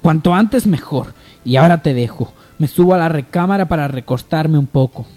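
A man's voice: a little high, normal speed, mellow, faint music behind, natural voice. A man playing a grieving, anxious young heir in an amateur radio soap opera. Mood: weary, resolute.

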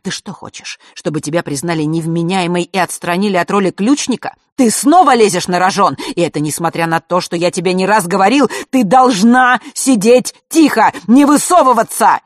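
A reader voicing an angry middle-aged father who scolds his daughter loudly and sharply. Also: medium pitch, moderate pace, no echo, clean studio sound, close to an everyday voice. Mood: furious. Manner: loud scolding, raised and sharp. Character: stern furious father, strict and cold.

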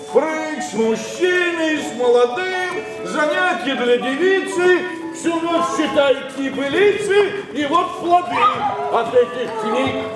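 A man's voice: very high voice, full character voice. An actor playing a pompous, grumbling middle-aged nobleman father in classical verse drama, declaiming in a blustering way. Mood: scolding, sarcastic. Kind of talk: classical verse stage acting. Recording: very echoey, distant, music behind.

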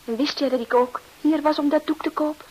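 An actress playing a sensitive, proud young English art dealer, polite and emotional, in a radio drama. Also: female voice, sits high, steady tone, brisk, darkish tone, lightly acted, poor audio. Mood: accusing, hurt. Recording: hissy old radio drama recording.

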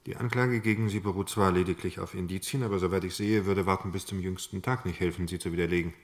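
An actor playing a sober, pragmatic male defence lawyer in a German crime radio play, measured, frank and probing. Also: deep voice, steady tone, natural voice. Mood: dry, frank. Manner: measured, matter-of-fact, questioning.

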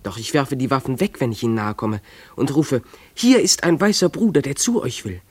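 An actor playing a dreamy, defiant runaway schoolboy in a German radio play, speaking in an imploring, declamatory way. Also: male voice, medium pitch, sing-song, fairly quick, husky, no echo. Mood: fervent, hopeful.

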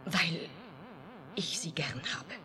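A woman's voice: low-pitched, animated, slow pace, crowd noise. An actress playing an artificially created young woman, cool and logical, with formal, literal speech in a radio drama. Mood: controlled, softening. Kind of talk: 1980s German radio drama acting.

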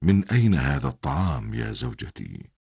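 Man voicing a very deep, suffering old prophet. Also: wildly swooping pitch, fairly quick, darkish tone, in a large hall, full character voice. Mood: stern questioning. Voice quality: very deep, husky. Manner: solemn, formal declaiming. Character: patient, devout, suffering old male prophet.

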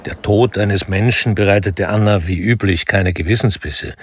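A man narrating a crime radio drama in a measured, dry, literary manner, detached and wry. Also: on the low side, slightly rough, no echo. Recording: music under studio narration. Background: music.

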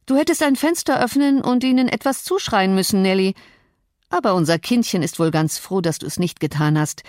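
A woman's voice: mid-range voice, lively intonation, brisk, darkish tone, dry recording, natural voice. A cruel, scornful tyrant of a man, as a woman reader puts him on in an audiobook, talking with a sneer and open menace. The sound is close and dry, with no room around it. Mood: contemptuous. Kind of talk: audiobook character voice.

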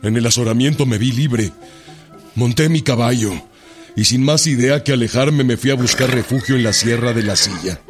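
An actor playing a male revolutionary recounting his outlaw youth in radio drama, solemn and deliberate. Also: mid-range voice, soft background music, natural voice. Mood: grave, recounting. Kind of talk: dramatised first-person radio narration.

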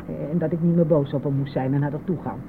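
A woman's voice: deep voice, steady tone, fast talker. Older mother-in-law, stubborn, terse, defensive, radio drama, thin narrow-band audio, faint vehicle noise. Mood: reticent, stubborn. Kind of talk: Dutch radio play acting.

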